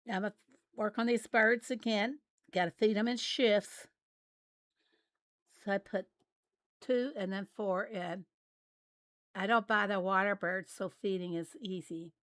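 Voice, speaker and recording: A woman with a raspy voice, chatting casually with viewers while she plays a farming game. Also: mid-range voice, a bit bright, dry recording. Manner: unhurried, chatty, reads out and answers viewer messages. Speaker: game livestreamer. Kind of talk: casual livestream chat while playing.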